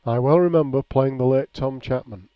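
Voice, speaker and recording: A man reading a nostalgic written memoir aloud in a measured, plain storytelling way. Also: mid-range voice, clear, resonant tone. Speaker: reader of a written local-history account. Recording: dull, lo-fi, echoey room.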